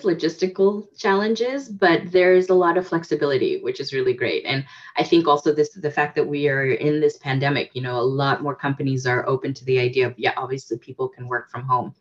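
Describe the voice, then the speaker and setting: A woman from the United States, a guest in a casual interview, talking reflectively with many fillers and restarts. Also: low-pitched. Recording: dull lo-fi audio.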